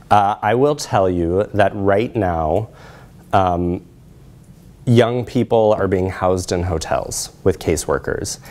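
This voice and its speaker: A middle-aged man presenting research in a lecture room, explaining in a measured way with frequent pauses. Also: low voice, a little mellow. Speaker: presenter, social work researcher. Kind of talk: academic lecture with slides.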